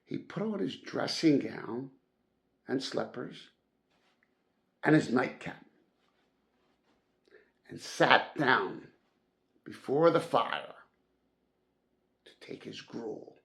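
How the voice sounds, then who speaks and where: Very slow, creaky male storyteller. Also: mid-range voice, animated, a bit bright. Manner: deliberate, dramatic phrasing with emphatic stresses. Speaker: audiobook-style reader. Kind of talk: storybook read-aloud narration.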